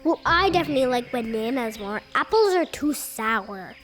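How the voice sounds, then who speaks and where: High-pitched, cheeky little ape. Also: female voice, expressive, moderate pace, a bit bright, soft background music. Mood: cheeky, curious. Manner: childlike, blunt. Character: little young ape, curious, loyal and cheeky. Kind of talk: animated storybook character voice.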